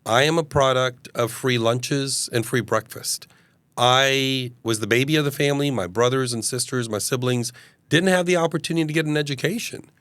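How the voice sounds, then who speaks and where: Smooth-voiced man in his fifties. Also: mid-range voice, moderate pace, a bit bright, no echo. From Uganda. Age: 50s. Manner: earnest, expansive, hesitant fillers. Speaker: guest, mayoral candidate. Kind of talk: casual interview storytelling.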